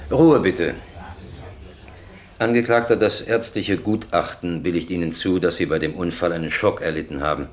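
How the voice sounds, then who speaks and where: An actor playing a stern, impatient presiding judge in a radio crime drama, questioning formally and insistently. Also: male voice, low voice, moderate pace, close to an everyday voice.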